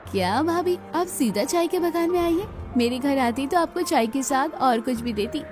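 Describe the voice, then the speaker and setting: A woman's voice: high voice, lively intonation, normal speed. A woman voicing a friendly, hospitable young village woman in a cartoon story. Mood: welcoming. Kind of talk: scripted cartoon story dialogue. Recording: music behind the voice.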